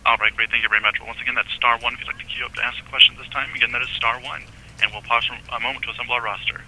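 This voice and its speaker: A male operator makes scripted announcements on a phone line with faint noise. He rattles them off extremely fast in a flat, even tone. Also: mid-range voice. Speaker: conference call operator.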